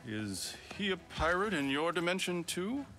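A man playing a self-preserving rogue turned reluctant hero in a video game, wry and full of dramatic pauses. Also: sits high, big pitch swings, sharp tone, full character voice. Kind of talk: animated video game acting.

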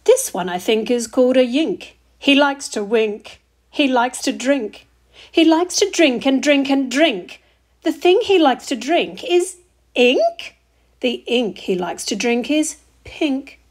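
A woman reading a children's picture book aloud, expressive, deliberate and sing-song. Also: high-pitched. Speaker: story reader.

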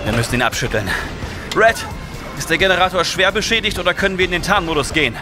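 An actor playing a cocky, defiant space commander in a retro sci-fi audio drama, urgent and punchy. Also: male voice, sits high, lively intonation, fast. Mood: tense. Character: bold, cocky male space commander hero. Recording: loud music and engine noise behind.

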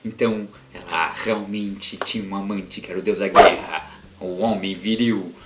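A man's voice: low voice, steady tone, normal speed, echoey room, heavy background noise. A young Brazilian man, an art teacher and cartoonist, talks playfully and self-mockingly to his webcam about art, swinging his voice up and down for drama. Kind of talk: theatrical educational vlog. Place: small bedroom with bookshelf. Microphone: webcam framing, seated facing camera.

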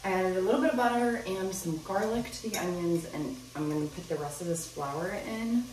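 Low-voiced young woman in an echoey kitchen. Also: fairly quick, noisy background. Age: young adult. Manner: chatty, thinks aloud while cooking. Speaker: vlogger. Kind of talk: casual cooking vlog talk. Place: home kitchen, indoors. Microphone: camera on the counter, a few steps away. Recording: echoey kitchen, mic at a distance.

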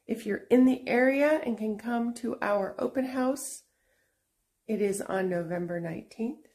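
A middle-aged woman hosting a casual vlog while showing her antique shop booth, talking in an unhurried, chatty way with fillers and restarts. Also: on the high side, a little mellow. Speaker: antique shop owner and channel host.

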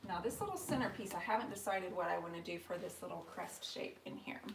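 A woman's voice: lowish voice, fast talker, gravelly, noisy background. Chatty woman rambling through a live stream. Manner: chatty, rambling, answers viewer comments aloud. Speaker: furniture painter hosting a live stream. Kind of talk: casual live-stream tutorial chat. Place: home workshop, indoors. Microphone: camera mic, moves toward and away from it.

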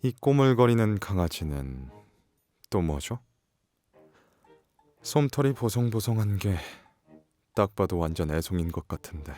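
An actor playing a sharp, condescending wolf shapeshifter in an audio drama, questioning with sardonic formal politeness. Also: male voice, low voice, expressive, a little slow, pauses often, faint music behind. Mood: suspicious, cool.

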